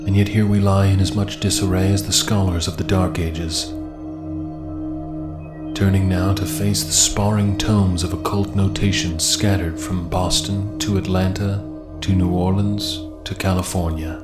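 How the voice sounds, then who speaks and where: A man narrating scripted occult horror fiction in a grave, measured reading with formal diction. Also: deep voice, little pitch movement. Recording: echoey voice under loud music.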